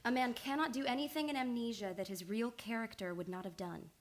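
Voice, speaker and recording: A woman's voice: a little high, brisk, a little hiss or hum, natural voice. A woman playing an earnest, devoted analyst in a radio drama, insistent and pleading in urgent, emphatic phrases. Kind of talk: old-time radio drama acting.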